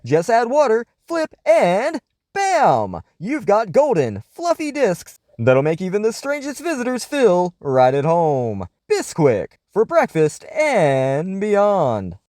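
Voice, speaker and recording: A man's voice: high-pitched, wildly swooping pitch, takes it slowly, a little mellow, no echo, clean studio sound, pitched above the performer's own voice, full character voice. A man playing an upbeat, folksy radio advertisement announcer, with a punchy, exaggerated salesman delivery in a retro sponsor-read style. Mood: upbeat, salesy.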